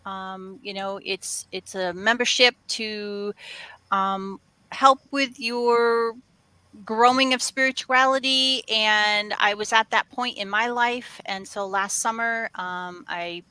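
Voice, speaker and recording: A woman from West Virginia, a guest on a talk show, speaking in a measured way with many um fillers. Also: medium pitch, steady tone, pushed and bright, clean tone, no echo. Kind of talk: casual interview talk.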